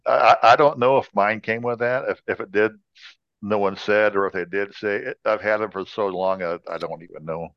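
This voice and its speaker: A man's voice: on the low side, fairly quick, no room sound. A man co-hosting a podcast in casual chat, jokey and often restarting his phrases. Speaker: podcast co-host. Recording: dull, lo-fi audio.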